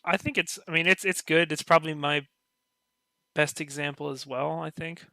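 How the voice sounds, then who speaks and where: A man's voice: fairly high pitch, fairly even intonation, moderate pace, creaky, bright, forceful tone, no room sound. A man chatting as a podcast panelist, tentative and qualifying his opinions as he goes. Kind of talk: casual group discussion.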